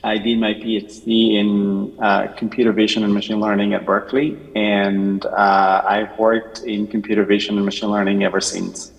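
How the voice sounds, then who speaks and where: Flat, gravelly Chilean-born man. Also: lowish voice, highs cut off. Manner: brisk, formal self-introduction. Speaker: professor and panelist. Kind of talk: conference panel talk. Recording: reverberant hall.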